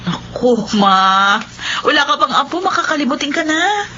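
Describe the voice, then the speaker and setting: An actress playing a caring but blunt adult daughter in a Filipino radio drama, emotive and scolding. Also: female voice, high-pitched, very animated, moderate pace, sharp tone, full character voice. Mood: fondly scolding. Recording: dull, slightly noisy radio recording.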